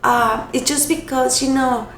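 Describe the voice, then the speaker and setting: Young woman from Costa Rica, native Spanish, hoarse and raspy from a cold, unhurried to-camera vlog chat in an echoey room with faint hiss. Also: sits high, steady tone, fairly bright tone. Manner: hesitant, self-correcting, unhurried. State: hoarse from illness. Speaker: vlogger.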